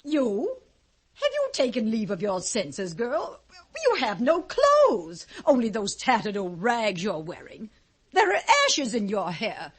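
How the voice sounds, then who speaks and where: A woman's voice: on the high side, huge pitch swings, slow, husky, exaggerated voice. An actress playing a haughty, cruel middle-aged stepmother in a fairy-tale radio drama of the 1950s, imperious and scornful.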